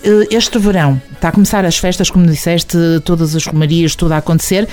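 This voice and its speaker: A woman's voice: fairly low pitch, quick pace, darkish tone, faint music behind. A woman with a European Portuguese accent hosting a conversational interview, effusive and affectionate with compliments for her guest. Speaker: interviewer, show host.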